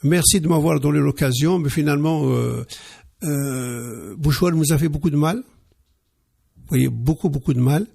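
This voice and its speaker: Male radio guest, husky and gravelly, hesitant but emphatic. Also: on the high side, normal speed, mellow. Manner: hesitant, repeats words, emphatic. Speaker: interview guest, car dealer. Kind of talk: radio interview.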